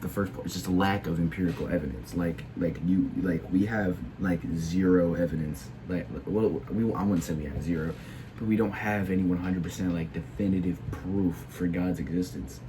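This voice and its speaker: A teenage boy speaks in a low register, audibly sick. He talks haltingly and keeps restarting his sentences with filler words. A faint background noise sits under his voice. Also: steady tone. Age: teens. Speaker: video creator. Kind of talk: casual opinion monologue.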